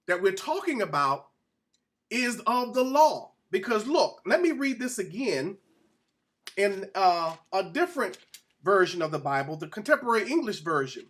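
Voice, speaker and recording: A man's voice: high-pitched, fairly bright tone. A man giving an informal Bible-teaching sermon as a presenter, speaking emphatically, repeating phrases and putting questions to his listeners.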